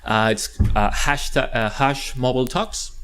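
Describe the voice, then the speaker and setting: A man's voice: medium pitch, measured pace, fairly bright tone, clean tone. A man presenting a conference panel, introducing the speakers with frequent hesitations and fillers. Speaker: event presenter. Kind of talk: event introduction.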